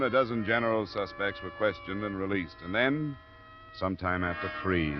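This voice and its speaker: An actor playing a laconic, steady investigator in a 1950s radio detective drama, with a dry, matter-of-fact delivery. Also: male voice, sits low, lightly acted. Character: laconic, steady male insurance investigator narrating his case. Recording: muffled old radio broadcast recording.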